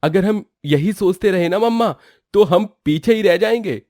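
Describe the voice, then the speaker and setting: A man's voice: high voice, moderate pace, darkish tone, dry recording, clean studio sound, natural voice. A man voicing an earnest, encouraging young family man in a story cartoon, mixing English words into his talk. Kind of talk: moral story cartoon voice acting.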